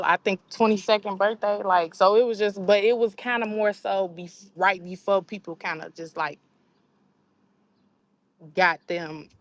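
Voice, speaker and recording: A raspy-voiced woman from Chicago, a rapper being interviewed, speaking softly and hesitantly with many fillers. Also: fairly low pitch. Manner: soft-spoken, hesitant, trailing off with fillers. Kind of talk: casual interview chat.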